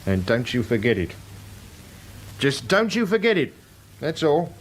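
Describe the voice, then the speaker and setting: Stern inspector, radio drama, clipped, pressing, accusatory, mid-pitched voice, background noise. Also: male voice, very animated, unhurried. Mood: menacing, insistent. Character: stern, bullying male police inspector, coldly insistent. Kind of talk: British radio drama acting.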